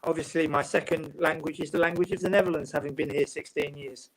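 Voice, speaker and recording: A man from England, a football writer and guest, talking in a calm, measured way with long explanations. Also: fairly high pitch, crowd noise, rough recording. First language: English. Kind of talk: casual panel chat.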